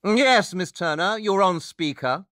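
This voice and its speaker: A man's voice: high voice, expressive, measured pace, pushed and bright, big-room sound, heavily acted. A man playing a brilliant, smug master detective in an audio drama, speaking with pompous, theatrical precision. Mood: intrigued.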